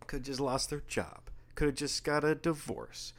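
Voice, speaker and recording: A young man co-hosting a casual talk show, jokey and animated, getting louder when he gets worked up. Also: normal speed. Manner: animated, jokey, rising in pitch and volume when riled. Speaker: podcast co-host. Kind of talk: casual chat.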